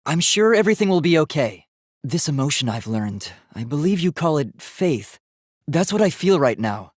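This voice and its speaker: An earnest young robot student from a video game, with a fairly high male voice. He speaks precisely and politely, reasoning in formal, logical phrases. The recording is dry and close. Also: sing-song, moderate pace, slightly rough, no room sound. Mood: calm, earnest.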